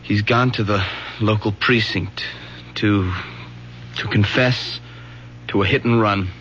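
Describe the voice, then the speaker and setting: Young son for radio drama: earnest, pleading, lowish pitch, dull vintage sound. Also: male voice, fairly even intonation, takes it slowly. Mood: strained. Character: earnest young son defending his father. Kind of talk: 1970s radio drama acting. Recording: muffled old radio broadcast, faint noise.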